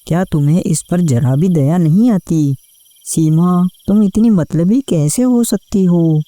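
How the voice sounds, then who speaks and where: A woman's voice: fairly low pitch, measured pace, dark tone, dry recording, lightly acted. A woman voicing a hardworking, kind-hearted farmer husband in a cartoon story, speaking in an earnest, plaintive way. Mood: indignant, pleading. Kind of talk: scripted cartoon story acting. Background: background music.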